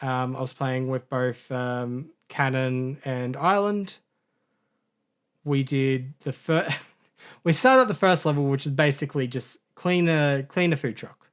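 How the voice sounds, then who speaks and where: A man's voice: medium pitch, unhurried, clean tone. Man, casual podcast co-host, rambling storyteller, self-correcting, chuckling. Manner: rambling, self-correcting storyteller who laughs at his own mishaps.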